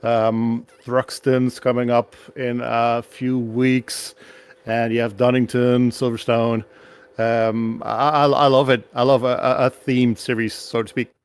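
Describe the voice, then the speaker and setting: A man's voice: medium pitch, flat delivery, slow pace, clear, resonant tone. A man who speaks English as a second language, answering a post-race interview as a guest driver. He often hesitates and searches for words. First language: not English.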